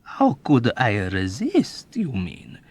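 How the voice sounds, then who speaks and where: A man's voice: mid-range voice, wildly swooping pitch, pitched above the performer's own voice, full character voice. A man voicing a sly, villainous 107-year-old man who talks suavely and teasingly in an audiobook. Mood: teasing, scornful. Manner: suave, teasing, drawn-out delivery.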